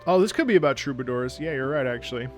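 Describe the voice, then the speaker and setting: A man's voice: mid-range voice, lively intonation, quick pace, music in the background. A man giving casual gameplay commentary as a streamer, with a wry, sarcastic way of talking. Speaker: streamer reacting to a game, former opera singer.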